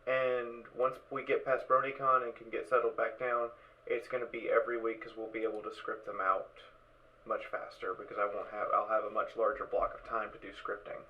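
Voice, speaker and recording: A man hosting a casual live stream over voice chat, talking haltingly and repeating his words as he organises. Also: on the low side, level tone. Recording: dull voice chat with background noise.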